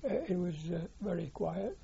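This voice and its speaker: Older male veteran, Lancashire accent; mellow, breathy tone; hesitant oral-history telling; muffled lo-fi tape. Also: high voice. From Lancashire, England. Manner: hesitant, many filler pauses. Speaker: war veteran interviewee. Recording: dull lo-fi recording.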